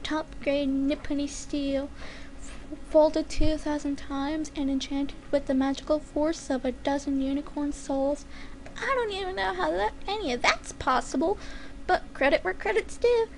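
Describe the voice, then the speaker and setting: A woman's voice: high-pitched, fairly even intonation, measured pace, noisy background. A woman voicing a cheeky female pony assassin in a story, sarcastic, flippant and breezily gleeful in manner. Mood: smug.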